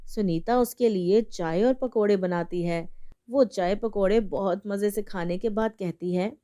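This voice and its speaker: A woman's voice: mid-range voice, a little slow, warm tone. A woman narrating a scripted story as the storyteller.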